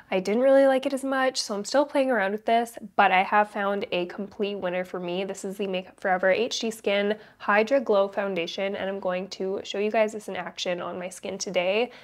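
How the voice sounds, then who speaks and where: Young female beauty creator, casual and candid, slightly stuffy nose, studio setup. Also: medium pitch. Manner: upbeat, chatty and candid. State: congested from a cold. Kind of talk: casual makeup tutorial chat. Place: indoor studio, plain backdrop.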